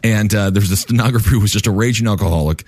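A man's voice: fairly low pitch, a little mellow, no echo, clean studio sound. A man hosting a casual interview, asking questions and cracking jokes. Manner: joking, quick questioner.

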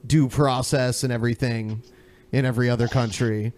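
A man's voice: medium pitch, fairly even intonation, moderate pace, a little mellow. A man co-hosting a podcast, giving informal, sweary commentary in casual conversation. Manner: profane, informal. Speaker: podcast co-host.

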